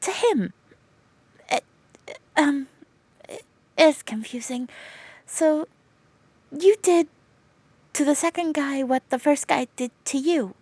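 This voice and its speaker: A woman playing a protective young female friend in an audio roleplay, scolding in exasperation and then whispering soft reassurance. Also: sits high, slow, long pauses, no echo. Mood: worried, exasperated. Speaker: comforting friend.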